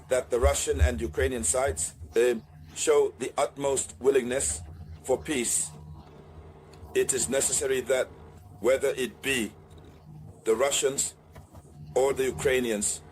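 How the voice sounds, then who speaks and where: A man hosting an online political news analysis, speaking deliberately to viewers and repeating key phrases. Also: medium pitch.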